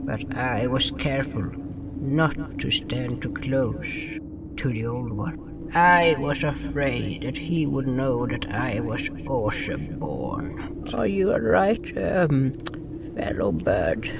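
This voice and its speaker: A man playing a cautious young bird who narrates a fantasy story in the first person, solemn and deliberate, dropping small words in broken grammar. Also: medium pitch, sing-song, vocal fry, recorded from a distance, noisy background, exaggerated voice. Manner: solemn, deliberate, drops articles.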